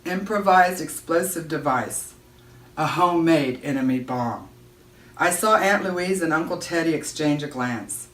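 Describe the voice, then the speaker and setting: A woman giving an expressive read-aloud of a children's novel, narrating as a storyteller. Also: low voice, unhurried. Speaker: audiobook-style reader. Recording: roomy echo, distant microphone.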